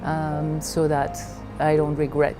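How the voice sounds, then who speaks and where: A middle-aged woman from Belgium telling her personal story in a reflective way, haltingly searching for her words. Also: low-pitched, monotone, music in the background, rough recording. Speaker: leadership coach.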